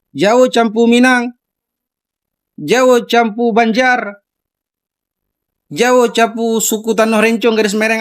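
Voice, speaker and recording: A man speaking directly to someone in a video response, insistently challenging him with repeated questions. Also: extremely high pitch.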